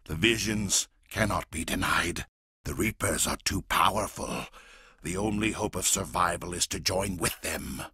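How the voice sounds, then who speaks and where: A man voicing a menacing, fanatical alien warlord for a video game, gravelly and raspy, with a grandiose, deliberate, intense delivery. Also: sits low, pushed and bright, no echo, studio quality, heavily acted. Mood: intense, persuasive. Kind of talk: dramatic video game voice acting.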